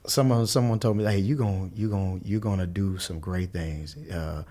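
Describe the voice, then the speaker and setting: Low-voiced Louisville man, animated storyteller. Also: normal speed. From Louisville, Kentucky. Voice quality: mellowed former high singing voice. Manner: animated storyteller, quoting others with voiced impressions. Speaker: guest musician. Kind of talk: casual conversation.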